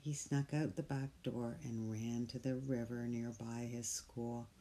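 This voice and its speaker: A storyteller reading a picture book aloud, gentle and soft, sometimes dropping to a whisper. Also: mid-range voice, flat delivery, measured pace. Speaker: storybook reader.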